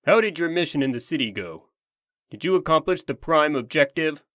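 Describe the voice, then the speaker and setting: A man's voice: on the high side, lively intonation, fairly quick, fairly bright tone, no room sound, clean studio sound. A man voicing an indignant, scheming talking farm animal in a theatrical, emphatic manner for a comic dub.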